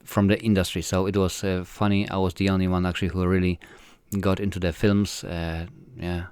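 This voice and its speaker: Polish filmmaker, 40s, low and smooth, halting answers full of fillers. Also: male voice, little pitch movement, a little mellow, dry recording. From Poland. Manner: hesitant, many fillers and restarts. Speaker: filmmaker guest. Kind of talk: casual interview answers.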